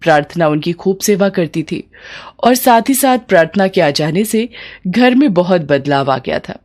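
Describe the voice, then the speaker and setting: A woman narrator tells a scripted moral story for an animated tale. Her voice sits a little low for a woman, and she reads as a storyteller.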